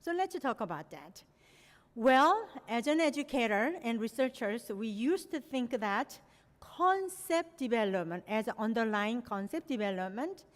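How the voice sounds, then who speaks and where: Korean-born woman lecturing in a reverberant hall. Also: fairly high pitch. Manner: frequent "you know" fillers, non-native phrasing. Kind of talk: academic presentation.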